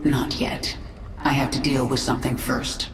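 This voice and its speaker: Low, echoing swarm queen. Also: steady tone, normal speed. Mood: cold, commanding. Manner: imperious, intense, partly whispered. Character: commanding, brooding alien swarm queen. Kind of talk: video game voice acting. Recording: echoey, distant, noisy game mix.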